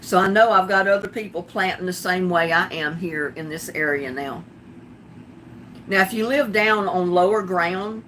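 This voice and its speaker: A woman's voice: lowish voice, a bit bright, a little hiss or hum. An older woman from North Carolina with a Southern accent touched by Texas, chatting casually on a livestream as a gardening channel host and telling stories with frequent laughs. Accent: Southern US with some Texas. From North Carolina, USA. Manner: chatty storytelling, laughs often.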